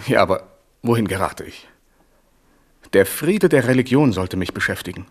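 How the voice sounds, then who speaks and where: Wavering monarch for radio play, husky and breathy, pious, helpless. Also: male voice, lowish voice, lively intonation, normal speed. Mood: weary, musing. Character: wavering, pious, helpless puppet king of France. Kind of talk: German radio drama acting.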